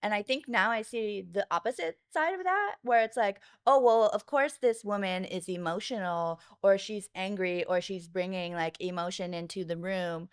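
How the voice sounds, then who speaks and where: A woman's voice: medium pitch, moderate pace, few pauses, clean tone, no echo, clean studio sound. A woman speaking casually as a guest on a podcast interview. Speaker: podcast guest, video director and producer.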